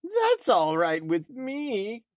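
A man voicing a wicked, envious queen disguised as an old farmer's wife, loud and drawn out, in a storybook reading. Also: high voice, huge pitch swings, very slow, no echo, studio quality, voice pushed up, full character voice. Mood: wheedling.

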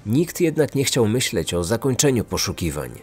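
A man narrating a scripted true-crime podcast in a serious, measured storytelling manner. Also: mid-range voice, big pitch swings, a little mellow, breathy edge, faint music behind.

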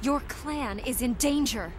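A woman's voice: sits high, measured pace, a little hiss or hum. A woman playing an earnest ally who gives urgent warnings in a video game cutscene.

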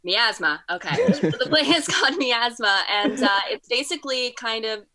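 A woman's voice: a little high, animated, normal speed, a loud crowd. A young woman, a student performer, casually and hesitantly explaining in a video-call interview. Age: young adult. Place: bedroom, indoors, on a video call.

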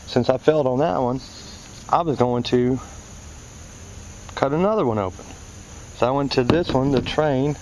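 A man explaining parts hands-on as a technician, in a casual, folksy way, thinking aloud as he goes. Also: mid-range voice. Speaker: HVAC technician demonstrating parts. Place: outdoors in a yard by a scrap pile. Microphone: handheld camera held by the speaker. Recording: noisy outdoor recording with insects.

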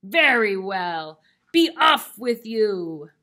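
A woman voicing a mean storybook troll with a loud, menacing, drawn-out roar. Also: mid-range voice, big pitch swings, full character voice. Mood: gruff, dismissive. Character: mean, roaring troll under a bridge.

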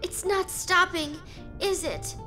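A woman's voice: very high voice, steady tone, slow pace, sharp tone, soft background music, exaggerated voice. A voice actress playing a spunky, defensive young female fighter in a video game, sounding pouty.

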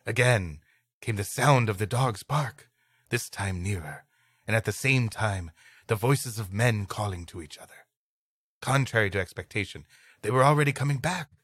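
A man's voice: on the low side, expressive, normal speed, a bit bright, husky, dry recording, studio quality. A man co-hosting a comedy podcast, reading a story aloud and playfully breaking off to riff. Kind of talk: story reading broken up by comic banter.

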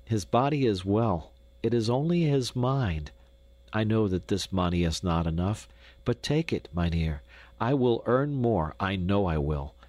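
A man's voice: lowish voice, lively intonation, a little slow, darkish tone, dry recording. A man voicing a poor young Dutch boy who pleads earnestly with a doctor. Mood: pleading.

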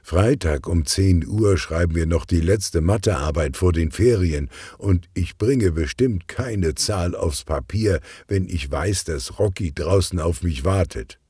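A man voicing an excitable teenage girl who confides eagerly in a children's audio story. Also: low-pitched, measured pace, hardly any pauses, breathy edge, no echo, studio quality. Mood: gloomy.

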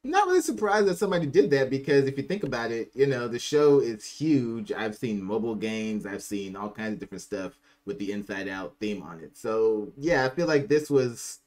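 A man's voice: medium pitch, big pitch swings, normal speed, hardly any pauses, a little mellow. A middle-aged man giving casual commentary as host of a reaction video. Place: small room indoors.

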